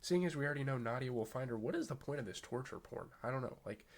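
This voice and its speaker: A man's voice: mid-range voice, lively intonation, quick pace. A man with a stuffy, nasal voice hosting a casual episode recap, rambling with lots of fillers and the odd swear word. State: congested, nasal. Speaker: TV review channel host.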